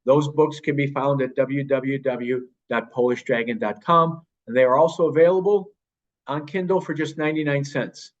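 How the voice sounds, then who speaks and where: A man's voice: mid-range voice, moderate pace, a little hiss or hum. A man introducing a show as its host, addressing listeners directly. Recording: slightly dull, lo-fi voice recording.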